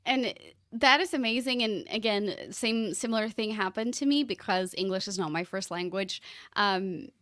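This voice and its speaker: A woman's voice: mid-range voice, normal speed, a bit bright, dry recording, clean studio sound. Woman on a podcast. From Portugal. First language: Portuguese. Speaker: podcast guest. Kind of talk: casual podcast conversation.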